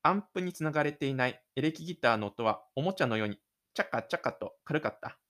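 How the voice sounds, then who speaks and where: Slightly high-voiced man narrating a story. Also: expressive, fast, fairly bright tone. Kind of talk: scripted literary audio drama narration.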